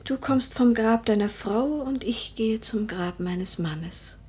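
A woman playing a wistful, proud noble widow in a German literary radio play, measured and poetic with hushed, breathy phrases. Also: on the high side, full character voice. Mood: wistful, restrained.

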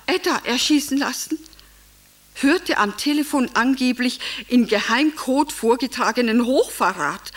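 An actress playing a hysterical, devoted Nazi-era wife and vain former stage star in a theatrical monologue, declaiming with drawn-out, melodramatic phrasing. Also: female voice, high-pitched. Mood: agitated.

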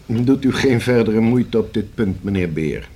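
A man's voice: lowish voice, expressive, a little slow, dark tone. An actor in a radio play as a guarded male notary, speaking in stiffly formal, precise and evasive phrases. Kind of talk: radio drama acting. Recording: hissy old radio play recording.

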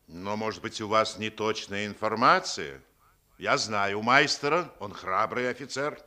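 An actor in a 1950s radio play voicing a stern, self-important German army general in a measured, formal, commanding way. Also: male voice, lowish voice, pushed and bright. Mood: reserved. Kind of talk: 1950s Soviet radio theatre acting.

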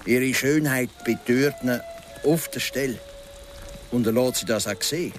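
An actor playing a philosophising local guide and storyteller in a Swiss German radio drama, speaking in a deliberate, dramatic way. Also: male voice, medium pitch, soft background music, natural voice. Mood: grave, dramatic. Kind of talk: radio drama, telling a folk legend.

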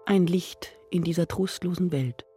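Actress as a low-voiced, mysterious devoted admirer. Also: female voice, unhurried, music in the background, lightly acted. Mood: adoring. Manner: lofty, poetic declarations. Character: devoted, mysterious female admirer, solemn and romantic. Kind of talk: radio drama acting.

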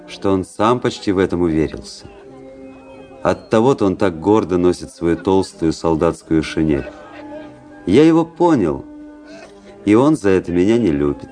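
Casting: world-weary officer-narrator for radio play; low, mellow, introspective, measured delivery. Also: male voice. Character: brooding, world-weary young officer narrating his own story. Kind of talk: literary first-person narration in a radio play.

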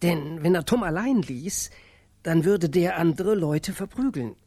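An actor playing one of the narrators of a whimsical fairy tale in a scripted radio play. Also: male voice, a little high, lively intonation, a little slow, a little mellow. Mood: storytelling. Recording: music under the voice.

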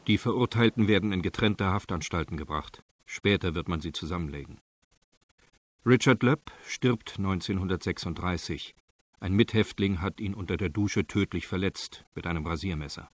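A man's voice: deep voice, no room sound. A man narrating a radio crime drama in a sober, factual, matter-of-fact style.

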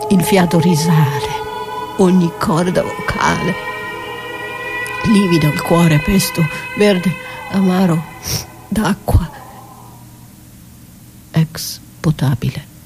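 A woman performing a dramatic radio theatre monologue as a battered wife, wounded, accusing and defiant, with intense delivery. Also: on the low side. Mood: dark, accusing. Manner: slow, intense delivery swinging from whispers to cries. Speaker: stage actress performing a solo monologue. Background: music.

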